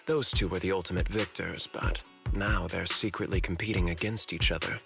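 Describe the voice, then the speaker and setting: An actor playing a candid, politely ambitious corporate security chief in a video game, speaking in an articulate, confiding way. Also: male voice, low voice, steady tone, normal speed, vocal fry, a bit bright. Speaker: corporate manager character. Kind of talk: video game story dialogue. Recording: music behind the voice.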